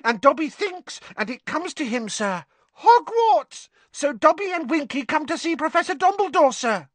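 A tiny male house elf with a squeaky, shrill voice pitched far above normal speech, eager and servile, bubbling over as he talks about himself in the third person. The voice leaps up and down and is read close to the mic in a dry, dead-sounding space. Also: sing-song, moderate pace, fairly bright tone, no echo, full character voice. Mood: delighted. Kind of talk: audiobook character voice.

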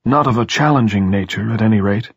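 A man voicing an elderly headmaster who is courtly, grave and resolute, with formal, pedantic, measured diction in an audiobook. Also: lowish voice, slightly rough, no room sound, muffled recording. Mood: pedantic, thoughtful.

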